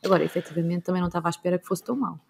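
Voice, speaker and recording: A woman with a European Portuguese accent co-hosting a casual podcast chat, talking in a rapid, emphatic way. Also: fairly low pitch, steady tone, gravelly, slight background noise. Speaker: podcast co-host.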